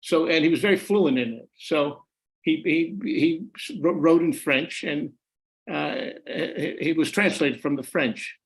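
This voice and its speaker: An older man speaks over a video call from a room at home, his voice pitched a little high for a man. He talks in halting runs, doubling back on his words and restarting before he lands the point. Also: rough recording. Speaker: author and former journalist, guest. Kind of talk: conversational interview answers.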